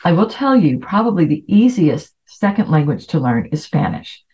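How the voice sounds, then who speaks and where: A woman's voice: low-pitched, sing-song, moderate pace, mellow. A middle-aged woman who grew up in Latin America, giving an informal, chatty self-help talk as a host. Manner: chatty, anecdotal. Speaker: podcast host. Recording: dull, lo-fi voice recording.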